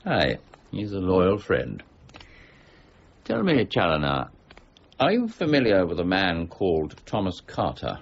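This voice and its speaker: An actor in a period radio drama playing a stern, relentless mint warden with a formal, curt, dryly sardonic way of talking. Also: male voice, sits low, wildly swooping pitch, measured pace, gravelly, long pauses, highs cut off, heavily acted. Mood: pressing, sardonic.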